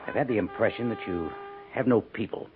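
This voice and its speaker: An actor playing a solicitous, careful army major at a frontier fort in a 1950s radio western. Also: male voice, on the low side, brisk, phone-quality sound, over music, natural voice. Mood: careful, grave. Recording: thin, band-limited 1950s radio recording.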